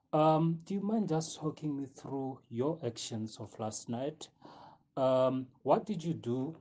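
Guy acting as a kind hesitant investigator in an audio drama, slow talker, bit of hiss and clicks. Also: mid-range voice, dark tone. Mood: gentle, questioning. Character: sympathetic male investigator taking a witness statement.

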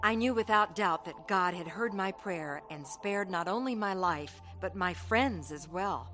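Bright-voiced young carnival woman, earnest. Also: fairly low pitch, expressive, a little slow, faint music behind. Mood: reflective, earnest. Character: young woman carnival worker narrating her hard past. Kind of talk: radio drama narration and dialogue.

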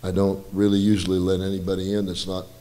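An older man, a guest musician, telling a relaxed, rambling story with self-deprecating asides at a church, his voice slightly hoarse, on a handheld mic. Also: low voice, fast. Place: church stage, large indoor room. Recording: reverberant church hall with faint music.

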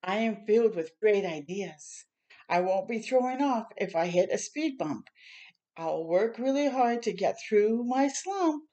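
An older woman reads a children's picture book aloud in a small room at home. Her voice sits at a medium pitch for a woman. She breaks away from the text to add encouraging, chatty asides for young listeners. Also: animated, measured pace, dark tone. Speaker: children's storytime reader.

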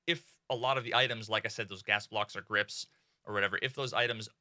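A young man in casual gaming commentary from a small home room, excitable and swearing freely, with many fillers. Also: on the low side, quick pace, no room sound, clean studio sound. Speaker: gaming streamer.

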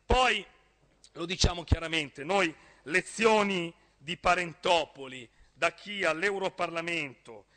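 A man in his thirties with a northern Italian accent, a regional councillor giving a forceful speech in a council chamber. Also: on the high side, in a large hall. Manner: forceful, rising to emphatic outbursts, gestures while speaking. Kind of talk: parliamentary speech. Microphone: desk gooseneck microphone.

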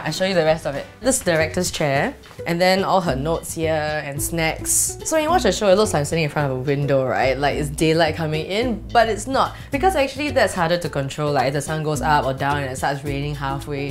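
A woman's voice: deep voice, lively intonation, fairly quick, faint music behind. A young woman from Singapore with a Singaporean English accent, chatting in a bubbly, giggly way as the host of a beauty show, filmed in a small apartment set. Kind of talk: casual vlog chat. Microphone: off-camera mic.

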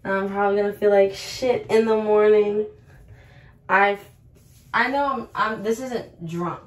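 A woman's voice: mid-range voice, steady tone, unhurried, no echo. A woman in her twenties, a little tipsy, rambling to camera in a casual vlog and giving an earnest pep talk full of fillers. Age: 20s. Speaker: vlogger. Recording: loud background noise.

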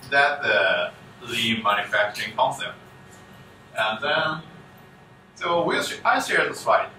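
A man gives a conference keynote talk in halting English full of restarts, speaking from the front of a conference room. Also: medium pitch, animated, bright, forceful tone. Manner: halting non-native English, frequent restarts. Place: conference room with projector screen. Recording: echoey room, distant mic, faint hum.

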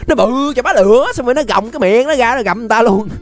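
A man's voice: very high-pitched, rapid-fire, faint music behind. A young man with a Southern Vietnamese accent giving casual, playful, exclamatory gameplay commentary as a YouTube host. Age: young adult. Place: indoor room, webcam setup.

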